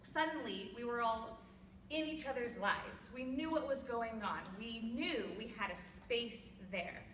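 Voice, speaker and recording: A young woman from California with a loud voice, giving a personal talk to an audience in a church hall without a microphone. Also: a little high, expressive, unhurried, a little hiss or hum. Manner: loud, laughing, self-deprecating. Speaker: guest speaker. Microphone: no microphone, far from recorder. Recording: echoey hall from far off.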